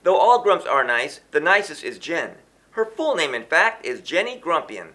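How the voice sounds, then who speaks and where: A man's voice: fairly high pitch, sing-song, moderate pace, pushed and bright. A man reading a rhyming children's story aloud in a theatrical, sing-song, emphatic storyteller's delivery. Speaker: children's book author reading their own book.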